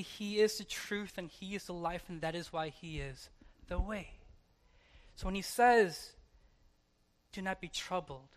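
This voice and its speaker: A pastor preaching a sermon emphatically, moving between hushed and forceful delivery, with repetition and rhetorical questions. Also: medium pitch.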